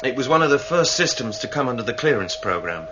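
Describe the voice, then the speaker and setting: A male actor as a practical starship crewman who doubts everything and is easily exasperated. His delivery swings in pitch through old sci-fi radio drama dialogue, on a dull, noisy recording. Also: sing-song, fast talker, close to an everyday voice. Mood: matter-of-fact. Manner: dismissive, matter-of-fact.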